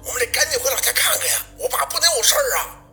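A man's voice: very high-pitched, big pitch swings, rapid-fire, vocal fry, pushed and bright, faint music behind, pitched above the performer's own voice, full character voice. A man voicing a frantic, whiny young country relative, wailing breathily.

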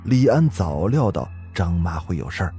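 A man's voice: sits low, normal speed, soft background music. A man hosting and narrating a ghost story in a dramatic, suspenseful manner. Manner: suspenseful, expressive. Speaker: host and storyteller.

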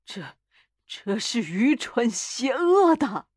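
A woman's voice: a little high, animated, unhurried, breathy edge, no room sound, clean studio sound. A woman voicing a timid, bewildered old husband who speaks hesitantly with a stammering tremble in a storybook reading. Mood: fearful.

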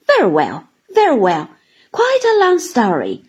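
A woman voicing a sly, volatile little woman hairdresser who rattles on with teasing exclamations, in a breathy voice. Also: sits high, wildly swooping pitch, a little slow, a little mellow, pitched above the performer's own voice, full character voice.

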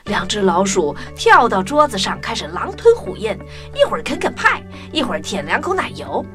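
Animated woman narrating a children's story. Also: medium pitch, moderate pace, a crowd in the background. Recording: music under the voice.

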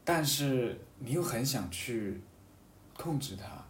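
A man chatting casually and reflectively as a guest, thinking aloud in a halting way and often repeating his phrases. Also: mid-range voice, animated, distant mic. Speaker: podcast guest talking about a hobby of seal carving. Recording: roomy echo, off-mic, faint background noise.

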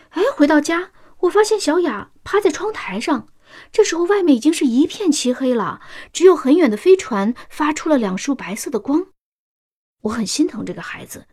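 A woman's voice: high-pitched, a little mellow. An actress playing a devoted, anxious working-class mother in a science-fiction radio drama, pleading and sighing emotionally.

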